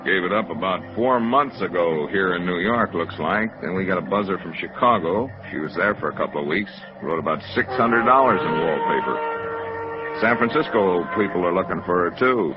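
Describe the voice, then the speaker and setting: An American actor playing a terse, slangy police officer in a 1950s radio drama, casual and businesslike. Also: male voice, low-pitched, expressive, normal speed. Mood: matter-of-fact. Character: laconic, slangy male police records officer. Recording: dull old radio recording with music.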